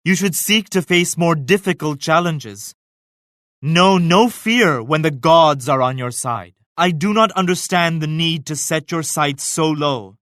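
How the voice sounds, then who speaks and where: An actor voicing a devout, dignified Mughal emperor in a video game, speaking in a solemn, formal, measured manner. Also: male voice, a little high, a bit bright, no room sound, studio quality. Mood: warm, respectful. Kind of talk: scripted video game character lines.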